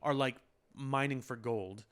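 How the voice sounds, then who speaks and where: A man's voice: mid-range voice, measured pace, fairly bright tone, clean tone. Analytical man co-hosting a film podcast. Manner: analytical, builds points with extended metaphors. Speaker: podcast co-host. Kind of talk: casual chat.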